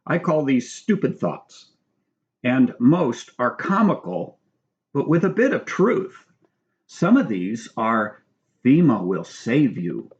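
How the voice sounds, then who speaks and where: A man reading his own book aloud as scripted narration, in an instructional, measured manner. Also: mid-range voice, lo-fi sound. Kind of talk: scripted audiobook narration with spoken asides.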